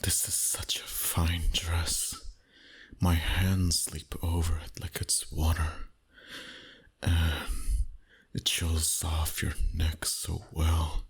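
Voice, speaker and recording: A man playing a seductive, dominant vampire boss in an intimate ASMR roleplay, breathy and husky, often whispering, with a slow teasing delivery. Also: rumbling low, monotone, heavily acted. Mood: admiring, tender.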